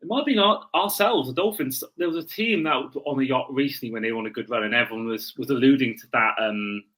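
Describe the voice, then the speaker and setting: A man's voice: mid-range voice, fast, fairly bright tone. A man hosting a sports podcast in casual chat, often correcting himself mid-sentence.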